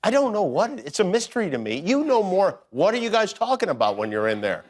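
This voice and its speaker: A man's voice: high voice, sing-song, quick pace. A man hosting a casual talk show interview, joking playfully and laughing often with his guest.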